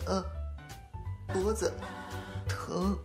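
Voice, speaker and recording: A man acting a shy, slow-spoken young man. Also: sits high, little pitch movement, gravelly, over music, heavily acted. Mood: awkward. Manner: hesitant, soft-spoken. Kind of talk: Chinese audio drama acting.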